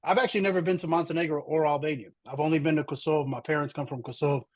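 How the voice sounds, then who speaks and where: A man's voice: fairly high pitch, fairly even intonation, rapid-fire, dry recording. A man from Slovenia hosting a podcast, upbeat and encouraging in casual interview talk. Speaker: podcast host.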